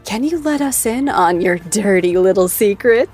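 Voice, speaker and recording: A woman's voice: medium pitch, expressive, moderate pace, a little mellow, faint music behind, natural voice. An actress playing a bubbly young talk show host who teases her guest and her fans in a playful, theatrical way. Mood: upbeat teasing.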